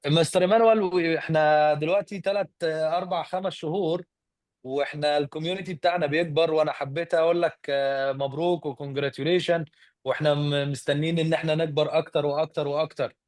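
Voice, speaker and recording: A man's voice: a little high, steady tone, very fast, a little mellow, no room sound, clean studio sound. A man from Egypt, a native Arabic speaker with an Egyptian accent, giving casual greetings as a member on a call.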